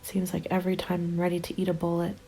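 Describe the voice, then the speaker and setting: A woman acts out a weary survivor of the apocalypse keeping a bleak diary. The voice is soft, mellow and gravelly with fry, often sinking into a breathy whisper. The delivery is sombre and resigned, in ASMR roleplay style with music behind it. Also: lowish voice, completely flat delivery, racing, lightly acted, poor audio. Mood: bleak resignation.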